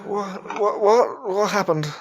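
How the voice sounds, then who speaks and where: A man acting a grieving, ashamed Victorian widower in an audio drama, speaking in a melancholy, halting way with sighs. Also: sits high, background noise. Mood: dazed, distressed. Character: grieving, ashamed Victorian widower in his twenties or thirties, self-reproaching. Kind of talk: audio drama acting with first-person narration.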